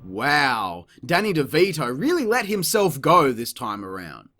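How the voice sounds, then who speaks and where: A man's voice: mid-range voice, very animated, unhurried, over music. A man narrating a scripted comedic review in a sarcastic, crude, mocking manner. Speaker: video reviewer.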